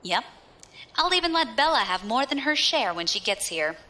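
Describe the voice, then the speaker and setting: A woman's voice: a little high, big pitch swings, normal speed, pushed and bright. An actress playing a cool, scheming female space pirate leader in an audio drama, wry, teasing and self-assured. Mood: determined, confident.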